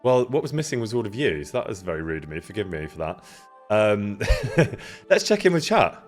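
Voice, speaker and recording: A man casually chatting with his live-stream viewers, greeting them by name and laughing often, with a loud voice that carries. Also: on the low side, sing-song, normal speed, soft background music. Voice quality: loud, carrying voice. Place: indoor room near an event space.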